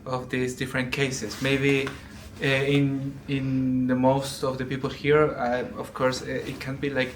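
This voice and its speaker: Man from Chile, monotone, hesitant audience speaker. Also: medium pitch, a little slow, few pauses, a little hiss or hum. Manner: searching for words, hesitant. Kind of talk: casual discussion.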